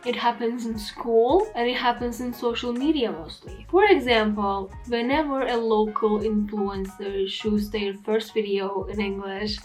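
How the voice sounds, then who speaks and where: Young turkish woman vlogging in english, turkish-american accent, earnest and emphatic, soft music under. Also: a little high. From Turkey. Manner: earnest, plain-spoken, emphatic. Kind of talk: direct-to-camera talk. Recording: faint background music.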